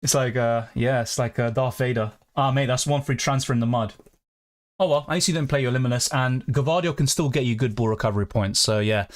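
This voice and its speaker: A man's voice: medium pitch, a little fast. A young man chatting casually on a live stream, rambling and reading out chat messages. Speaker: fantasy football streamer.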